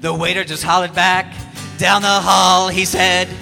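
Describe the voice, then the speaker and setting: Guy doing a cheesy evil showman crooner, highish voice, guitar going, big echoey stage. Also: level tone, a little slow, gravelly. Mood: smug crooning. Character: vain villainous male lounge-singer showman. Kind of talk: live comedic radio-play musical performance.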